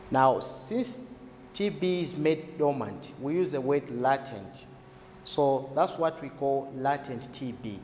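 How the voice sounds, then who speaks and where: A man with a slightly high voice explains things like a health educator in an interview. He phrases deliberately, posing questions and then answering them. The room is very echoey, with faint noise behind him. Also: a little mellow. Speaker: health expert explaining tuberculosis. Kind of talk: explanatory interview talk for a documentary.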